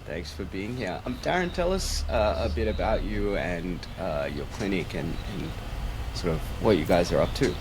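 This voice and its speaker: A man with a very low voice hosts a podcast, greeting his guest and asking questions in a loose, hesitant way with ums and uhs. Clear hiss runs under his voice throughout. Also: expressive, normal speed. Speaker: podcast host. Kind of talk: interview hosting. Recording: noticeable background hiss.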